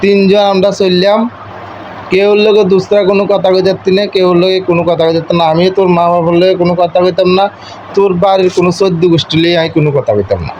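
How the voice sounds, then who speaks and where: A man's voice: high voice, steady tone, fairly quick, darkish tone. A young man speaking an eastern Bengali regional dialect, lecturing his wife in an informal domestic argument, insistent, repetitive and domineering. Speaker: husband on a call with his wife. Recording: muffled call recording with faint noise.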